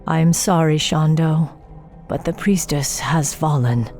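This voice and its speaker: An actress playing a stern, vengeful elven warrior commander in a fantasy game, speaking with cold, formal authority. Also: female voice, low-pitched, slow pace, a little mellow, soft background music. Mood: grave. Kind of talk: dramatic game cutscene acting.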